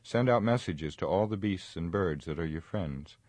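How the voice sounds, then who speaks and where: A wise old wizard as a male reader plays him in a fantasy audiobook, with an extremely low voice. He speaks gravely and firmly, weary but measured, and the sound is dry. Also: expressive, darkish tone, no echo. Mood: urgent, commanding. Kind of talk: dramatic audiobook reading of fantasy.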